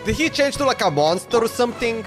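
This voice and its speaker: Casting: male streamer, twenties, high voice, casual speculative commentary, faint music behind. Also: animated, fast. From Slovenia. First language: Slovenian. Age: 20s. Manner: musing aloud, speculative, repetitive. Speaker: game livestreamer. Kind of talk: casual live reaction commentary.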